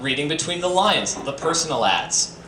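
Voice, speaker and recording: A man with a fairly high, very lively voice performs a comic reading on stage, leaning into campy emphasis and tossing in asides. The recording is an echoey, distant capture from a live venue with clear background noise. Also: moderate pace. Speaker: reader at a live comedy reading night. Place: bistro venue in front of an audience. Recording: roomy, distant, noisy live stream capture.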